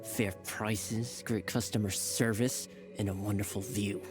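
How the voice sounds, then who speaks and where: An actor playing a nervous smooth-talking petty crook with a salesy manner, over game music. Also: male voice, lowish voice, fairly even intonation, unhurried, lightly acted. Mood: shifty. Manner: salesy, nervous, talks his way out. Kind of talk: video game voice acting. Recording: game soundtrack under the voice.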